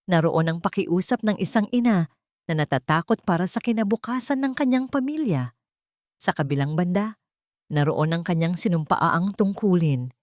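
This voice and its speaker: Lady narrating a drama story, lowish voice, dry close sound. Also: a little mellow, dry recording.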